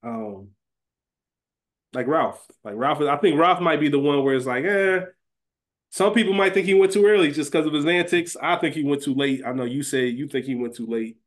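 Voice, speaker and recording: A man's voice: fairly high pitch, normal speed. A man co-hosting a podcast, chatting informally and conversationally. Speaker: podcast co-host. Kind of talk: casual banter about a TV series fantasy draft.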